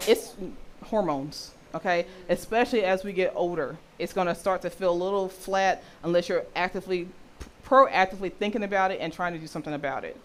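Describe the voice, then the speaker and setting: Deep-voiced woman teaching a workshop. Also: brisk, slight background noise. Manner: checks in often with "okay?" and quizzes listeners. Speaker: health and intimacy educator.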